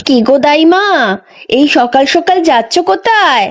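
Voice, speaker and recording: A woman's voice: high voice, animated, moderate pace. A woman voicing a gossipy village neighbour in a dramatised horror story, with a familiar, dramatic way of talking. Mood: chatty. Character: gossipy village neighbour bearing grim news.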